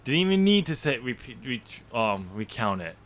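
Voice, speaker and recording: A man's voice: medium pitch, sing-song, moderate pace, fairly bright tone. A man hosting a podcast about his own novel, chatting casually, laughing often and poking fun at his own writing. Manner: self-deprecating, laughs often, stumbles and restarts. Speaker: podcast host and author of the novel.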